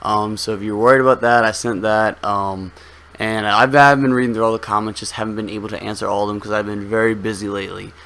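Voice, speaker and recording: A young man with a slightly hoarse voice, giving relaxed, rambling game commentary full of ums as a gaming video host. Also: fairly low pitch, fast talker. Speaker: gaming YouTuber. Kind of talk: casual gameplay commentary.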